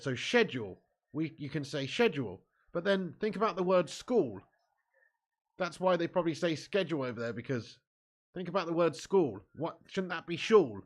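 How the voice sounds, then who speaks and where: A cheeky young man with an English accent, hosting a football watch-along live stream and bantering while reading viewer comments. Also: fairly high pitch. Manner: cheeky, banter-filled, laughs often.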